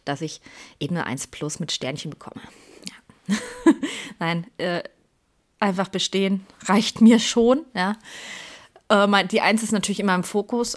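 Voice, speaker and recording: A woman in her thirties from Germany chats through her own podcast episode, rambling and correcting herself as she goes. Now and then she drops to a half-whisper. Beneath the jokes she sounds stressed before an exam. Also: fairly low pitch. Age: 30s. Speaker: podcast host. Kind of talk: casual chat.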